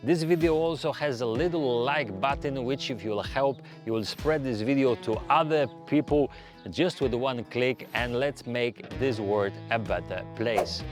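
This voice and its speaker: A man's voice: mid-range voice, unhurried. Man presenting travel advice over music. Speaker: host. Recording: music behind the voice. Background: background music.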